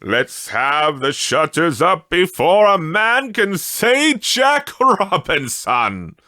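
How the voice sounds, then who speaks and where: A jovial old storybook merchant, pitched high and loud. He booms out hearty, festive exclamations, stretching his words slowly with boisterous good cheer. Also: big pitch swings, dry recording, heavily acted. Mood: jovial, booming. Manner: booming, drawn-out festive exclamations. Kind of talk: storybook character voice in an audiobook.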